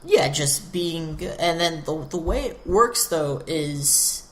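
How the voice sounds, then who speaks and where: A man's voice: a little high, lively intonation, slow, fairly bright tone, reverberant. A man co-hosting a casual video game podcast, speaking in loose, hesitant phrases. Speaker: podcast co-host. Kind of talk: casual chat about video games.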